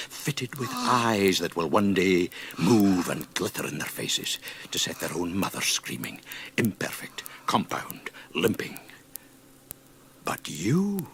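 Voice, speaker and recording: Seductive inner voice, deep, slow, theatrical, whispery emphasis, gloating, radio drama, faint noise. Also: male voice, gravelly, a bit bright, exaggerated voice. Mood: exultant, sinister. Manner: theatrical, insinuating, savouring words with whispery emphasis. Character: seductive, gloating inner voice goading a newborn creature.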